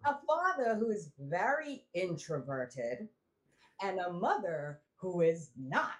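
Woman guest, lower voice, reflective storyteller with restarts. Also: big pitch swings, slow. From Trinidad. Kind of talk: casual interview conversation.

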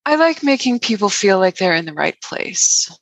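A woman plays an earnest worker who defends the atmosphere of her spooky workplace. Her voice is mid-pitched and dryly recorded, in the manner of an improvised comedy sketch. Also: big pitch swings, moderate pace, dry recording, rough recording. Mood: earnest, defensive.

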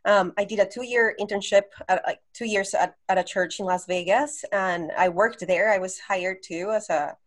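A woman in her thirties from Colombia, a podcast guest, recounting her path in an earnest, reflective way with many pauses and ums. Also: medium pitch, fairly even intonation. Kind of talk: casual interview storytelling.

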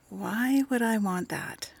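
A blunt, wry woman who works at a clinic and needles old friends. Her mid-pitched voice is dry and casual, in clipped, natural radio drama delivery. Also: vocal fry, slight background noise. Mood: guarded, wounded. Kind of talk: naturalistic audio drama acting.

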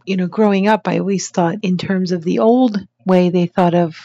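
A woman's voice: fairly low pitch, fairly even intonation, moderate pace, warm tone, dull audio. A woman co-hosting a podcast in casual, agreeable conversation. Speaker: podcast co-host.

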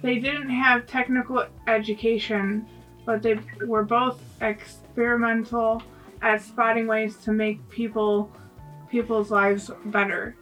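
A woman with a bright, slightly high voice reads a prepared text slowly and in a monotone, breaking it into short phrases. She is a bit off the mic, with soft music behind. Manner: halting reading in short phrases. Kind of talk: scripted read-aloud segment. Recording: distant mic with faint music.

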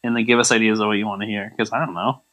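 A man's voice: lowish voice, steady tone, racing, fairly bright tone, lo-fi sound. A man co-hosting a podcast, riffing sardonically in casual comedic chat. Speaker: podcast co-host.

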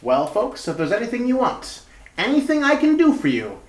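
Nosy know-it-all bellboy played by a man, theatrical and meddling, big pitch swings, roomy radio-play sound. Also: wildly swooping pitch, moderate pace, full character voice. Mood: huffy. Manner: officious, pestering, theatrical. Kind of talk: retro radio comedy-mystery acting. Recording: roomy, echoing amateur radio-play recording.

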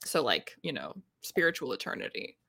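A woman's voice: a bass voice, sing-song, a little fast, vocal fry, bright, forceful tone, no echo. A woman co-hosting a podcast in a casual book discussion, talking in a wry, analytical, conversational way. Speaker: podcast co-host.

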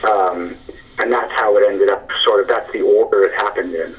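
A man's voice: fairly low pitch, fairly even intonation, normal speed, distant mic, highs cut off. A man in his forties, a screenwriter being interviewed, talking thoughtfully with many fillers and restarts. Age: 40s. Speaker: screenwriter guest. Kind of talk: interview conversation.

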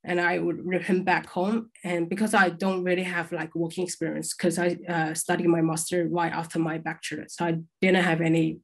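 A woman's voice: lowish voice, little pitch movement, moderate pace, hardly any pauses. A young woman from China, an alumni panellist, sharing her experience in a chatty way with frequent "like" fillers on a video call. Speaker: alumni panellist, former international student. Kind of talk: panel discussion answer.

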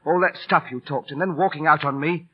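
An actor playing a hurt, proud street busker with a husky voice in an old radio drama. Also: male voice, fairly high pitch, brisk. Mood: wounded pleading. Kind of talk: 1940s radio play acting. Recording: dull, lo-fi old radio recording.

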